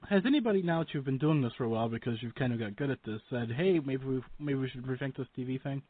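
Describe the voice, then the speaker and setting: Male radio interviewer, average pitch, informal and rambling with a joking streak, muffled low-fidelity recording. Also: fast talker. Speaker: radio talk show host. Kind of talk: casual chatty interviewing.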